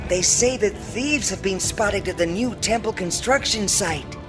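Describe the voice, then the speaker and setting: Game messenger: high-pitched, anxious, declamatory reports, music playing behind. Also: male voice, measured pace. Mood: worried. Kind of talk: fantasy game voice acting.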